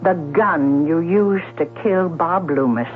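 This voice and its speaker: An actress playing a troubled, persistent sister in a 1970s radio drama, deliberate and dramatic. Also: female voice, fairly low pitch, soft background music. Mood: grave, accusing. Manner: deliberate, dramatic, repeats questions. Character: troubled, persistent woman confronting her sister about murder. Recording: tinny, humming 1970s radio recording.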